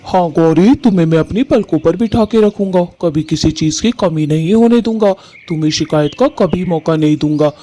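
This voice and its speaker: Cartoon groom-to-be bird played by a woman: young male, mellow tone, earnest, eager, lovestruck. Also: on the low side, moderate pace, few pauses, voice pushed down, natural voice. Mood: devoted. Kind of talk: cartoon story voice acting.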